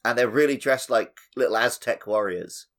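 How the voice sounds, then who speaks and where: A man's voice: medium pitch, normal speed, fairly bright tone. A man chatting casually as a podcast host.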